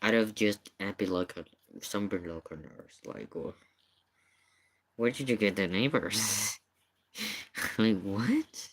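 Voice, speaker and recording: A man's voice: fairly low pitch, animated, slow, pauses often, slightly rough. A man giving casual live commentary in an emphatic, questioning way, often repeating his points. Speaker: streamer reacting to paranormal investigation video.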